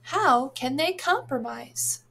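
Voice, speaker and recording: A woman reading a children's storybook aloud in an expressive, deliberate way for young listeners. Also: high voice, vocal fry. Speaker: read-aloud narrator, teacher.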